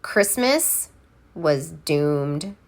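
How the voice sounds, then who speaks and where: A woman reading a children's story aloud in an expressive, theatrical way, landing deliberately on key words. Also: low-pitched, creaky. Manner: theatrical, deliberate, emphatic word by word. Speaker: storybook reader.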